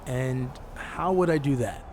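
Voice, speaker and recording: An earnest, determined male champion voiced by a man in a wrestling game cutscene. His voice sits at a middling pitch, with clear ambient noise around it. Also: unhurried, darkish tone, lightly acted. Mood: curious. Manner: earnest, questioning. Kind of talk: video game cutscene dialogue.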